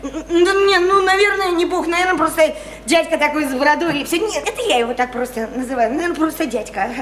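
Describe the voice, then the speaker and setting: An actress as a high-voiced, naive provincial young woman. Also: fast, lightly acted. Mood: flustered, shy. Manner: rambling, simple folksy speech full of hesitant fillers. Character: naive, odd, chatty provincial young woman, earnest and superstitious. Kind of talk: theatrical radio play dialogue. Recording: echoey, noisy stage radio play recording.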